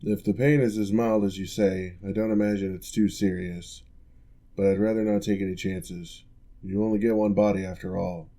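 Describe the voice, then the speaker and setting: Man playing an orc ex-general, patient physical therapist, low pitch, gravelly, calm measured ASMR delivery. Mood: instructive. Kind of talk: ASMR roleplay.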